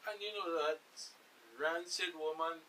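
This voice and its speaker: A man's voice: on the high side, steady tone, a little slow, crowd noise. A man speaking casually and emphatically in colloquial English, telling personal stories on a radio panel. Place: radio discussion with several people. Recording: hissy, distant-sounding studio talk.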